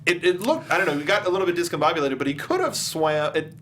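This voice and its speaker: A man with a fairly high voice, joking casually with co-hosts as he hosts a film review panel. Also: very animated, fast, a bit bright. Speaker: film review show host.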